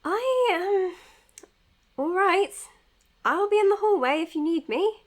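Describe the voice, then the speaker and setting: High-voiced, uptight young woman. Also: lively intonation, slow pace, heavily acted. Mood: flustered, disapproving. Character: anxious, uptight young woman psychiatrist, protective friend. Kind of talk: audio drama acting.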